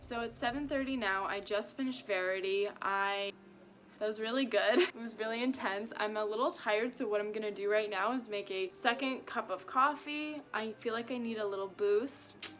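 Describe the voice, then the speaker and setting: A woman's voice: mid-range voice, normal speed, fairly bright tone, faint music behind. A woman vlogger chatting casually and informally to her viewers, rambling and poking fun at herself. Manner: informal, self-mocking, rambling. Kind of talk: casual confessional vlog chat to viewers.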